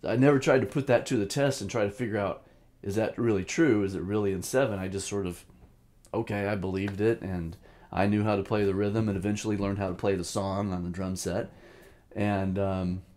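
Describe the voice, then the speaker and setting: Low-pitched man in his fifties, reflective. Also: fairly even intonation, fairly quick, darkish tone. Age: 50s. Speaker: drum teacher, host. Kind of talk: informal lesson with personal reminiscence.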